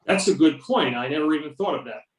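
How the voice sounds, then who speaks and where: A man's voice: a little high, expressive, quick pace, natural voice. A man playing a curious, polite interviewer, reading scripted questions in an amateur drama. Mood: intrigued, probing. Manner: polite, inquiring. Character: male interviewer, curious, politely probing the UFO expert. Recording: echoey room, distant from the mic.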